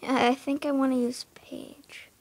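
A woman's voice: high-pitched, level tone, measured pace, dry recording. A young woman casually talking through her horse barn in a game, hesitant, full of fillers and often dropping into a whisper.

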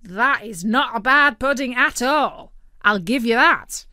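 Storybook role: a woman doing a brash, greedy male turkey, loud and pushy, higher than her own voice. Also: expressive, measured pace, no echo, clean studio sound. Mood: greedy boasting. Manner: loud, eager, bossy.

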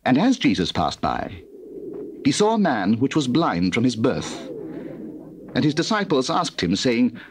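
A man's voice: mid-range voice, huge pitch swings, measured pace, a little hiss or hum. A man giving scripted scripture narration on an old cassette recording.

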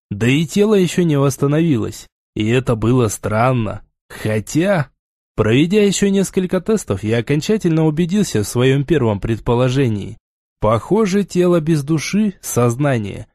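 A man's voice: mid-range voice, darkish tone, no room sound, clean studio sound. A man narrating a first-person novel as a scripted audiobook.

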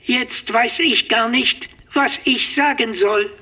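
Sheepish android, high bright voice, slow delivery. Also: female voice, exaggerated voice. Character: sheepish female android, soft-spoken.

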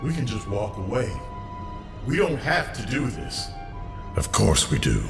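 Gravelly, tormented scarred crime boss. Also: male voice, lowish voice, big pitch swings, exaggerated voice. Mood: torn, anguished. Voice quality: gravelly, strained. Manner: slow, menacing, bitter. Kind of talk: audio drama acting. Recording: echoing hall under music.

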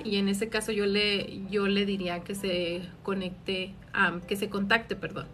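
A woman's voice: mid-range voice, fairly bright tone. A woman, a tax advisor answering viewer questions on a live stream in a friendly, explanatory way with many filler words, filmed by a phone in a small office. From Mexico. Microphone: phone camera at arm's length.

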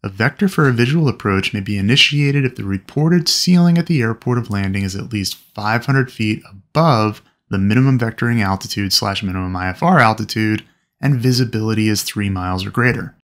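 A man's voice: on the low side, brisk, fairly bright tone. A man giving a scripted explainer narration in an instructional manner, as if teaching peers. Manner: instructional, addresses fellow pilots.

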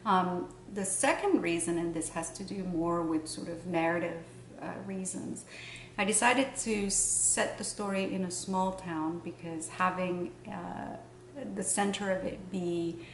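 A middle-aged woman with a fairly low voice speaks in a measured, reflective way, pausing to find her words. She sounds a little distant from the mic, and the room adds a noticeable echo with a faint hiss underneath. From Morocco. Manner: thoughtful, measured, with pauses and fillers. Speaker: novelist. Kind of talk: interview answers. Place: room in front of bookshelves.

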